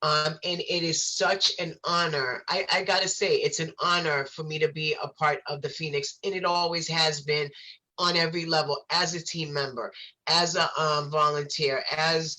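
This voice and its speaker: A woman's voice: low voice, bright, forceful tone, low-quality recording. Passionate woman in a live chat. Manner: passionate, effusive, repeats herself. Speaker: guest from a recovery organization. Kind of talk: casual live-stream chat.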